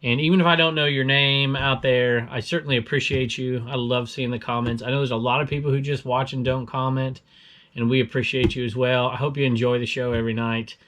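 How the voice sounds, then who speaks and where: A man's voice: mid-range voice, flat delivery, brisk, a bit bright. A man in his forties with a Southern US accent, hosting a casual reselling vlog in a chatty, rambling way with frequent chuckles. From Southern California. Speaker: eBay reseller and show host.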